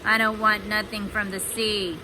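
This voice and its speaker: A woman's voice: medium pitch, flat delivery, measured pace, lightly acted. Lady doing an old country wife from a kids story, plain talk, noisy audio. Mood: grumpy. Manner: rustic, ungrammatical speech. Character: old fisherman's wife, plain-spoken, easily delighted old woman. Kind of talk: children's storybook read-aloud.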